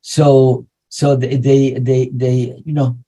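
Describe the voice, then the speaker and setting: An older man from Iraq, Arabic his first language, speaking with an Iraqi Arabic accent in a halting webinar talk over a video call, full of restarts and "you know". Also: medium pitch, flat delivery, warm tone. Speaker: guest speaker.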